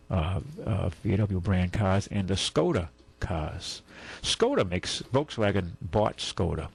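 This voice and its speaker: A man's voice: low-pitched, creaky, breathy edge. A man hosting a call-in car radio show, talking casually and rambling with many fillers and restarts.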